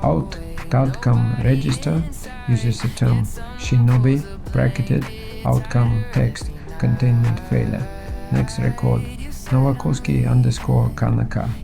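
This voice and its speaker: A man plays a methodical male engineer in an audio drama, dictating records haltingly in a heavily creaky, flat voice. He stays procedural over plucked guitar music. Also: medium pitch. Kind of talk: audio fiction, dictated log. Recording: music behind the voice.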